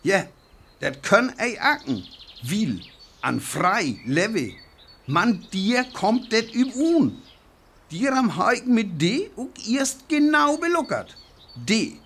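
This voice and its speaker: Radio play: agitated male survivor actor, North Frisian accent, husky, unhurried, scheming and bitter. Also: high-pitched, a bit bright, exaggerated voice.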